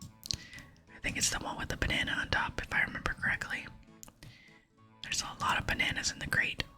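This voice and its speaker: A woman hosting an ASMR gaming video, whispering chatty, gentle commentary to her viewers. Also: brisk. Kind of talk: whispered ASMR game commentary and quest reading. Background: soft music.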